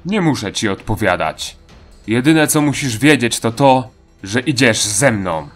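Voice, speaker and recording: Man as a stern low-voiced agent chief. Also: expressive, a little slow, faint music behind. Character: stern, dutiful male government agent chief.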